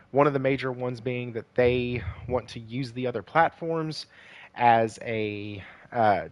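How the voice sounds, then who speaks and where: Hoarse man rambling on a gaming stream. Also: mid-range voice. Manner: rambling, many "uh" fillers; chatty, greets viewers by name. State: hoarse, losing his voice. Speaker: gaming livestream host. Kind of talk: casual livestream commentary.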